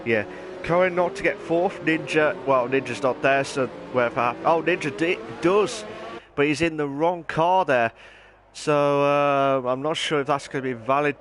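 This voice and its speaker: A man hosting and commentating an online racing league, his voice hoarse and nearly gone, talking in an excitable, chatty way. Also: a little high, moderate pace, background noise. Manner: excitable, shouting during qualifying, then calm chatty standings rundown. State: hoarse, lost voice. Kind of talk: live esports race commentary.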